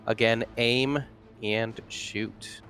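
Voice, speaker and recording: A man with a mid-pitched voice chats as a player in a tabletop roleplaying game. He talks in a casual, slangy, joking way, with music behind him. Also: fairly even intonation, very slow, sharp tone.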